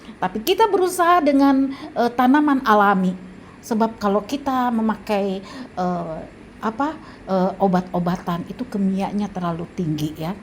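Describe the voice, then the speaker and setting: A woman in her seventies with an Indonesian accent, talking casually about home remedies, pausing often with fillers and restarts. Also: medium pitch, a little hiss or hum. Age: 70s. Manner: halting, with frequent fillers and restarts. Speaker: health vlogger. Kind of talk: casual advice talk.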